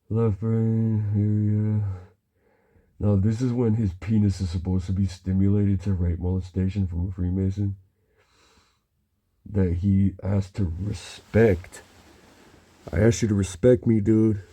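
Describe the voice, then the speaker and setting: A man talking in a halting, rambling monologue, murmuring and pausing with frequent low hums. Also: dark tone.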